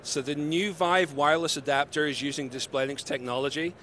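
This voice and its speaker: Upbeat British man pitching tech at a booth. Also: steady tone, brisk, bright, forceful tone. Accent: mixed British. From United Kingdom. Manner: upbeat, salesy, gesturing while explaining. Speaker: company representative. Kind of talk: trade-show interview. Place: busy convention hall booth. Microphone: handheld mic held by interviewer.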